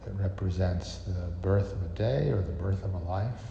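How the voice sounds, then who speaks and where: A man with a very low, gravelly voice leads a guided meditation, leaving long pauses between soothing, unhurried instructions. His words ring out in a long, echoing reverb. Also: dark tone, slight background noise. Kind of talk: guided meditation with astrology teaching. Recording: reverberant echo, slightly muffled, music behind. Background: music.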